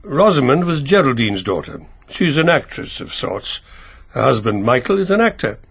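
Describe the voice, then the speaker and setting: An actor playing a respectable, anxious older family solicitor in a radio drama, formal and precise with some hesitation. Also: male voice, medium pitch, sing-song, moderate pace, vocal fry, a bit bright, slightly rough, exaggerated voice. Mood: explanatory, precise.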